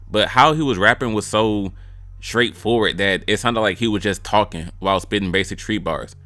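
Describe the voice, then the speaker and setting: Young man, music reviewer, casual slangy commentary, blunt and joking, lowish voice, bright tone. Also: no room sound. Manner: blunt, slangy and joking; mimics rappers' lines. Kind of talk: casual commentary ranking freestyles.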